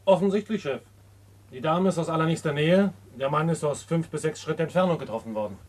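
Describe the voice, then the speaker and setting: A man's voice: fairly high pitch, a little fast, natural voice. An actor playing a matter-of-fact police assistant reporting to his chief in a German crime radio play, clipped and factual.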